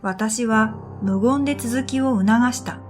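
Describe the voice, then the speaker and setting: A woman playing a pensive dream reader, narrating her own story in a quiet, measured radio drama style. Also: a little high, soft background music. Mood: quiet, wondering. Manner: quiet, measured, pensive, trailing into breathy phrase ends. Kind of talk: scripted first-person radio drama narration.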